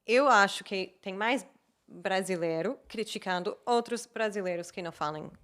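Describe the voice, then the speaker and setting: A woman's voice: mid-range voice, moderate pace. A woman from the United States, a native English speaker with an American accent, explaining language tips in a casual conversation. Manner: animated, explains with examples. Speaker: guest, English teacher.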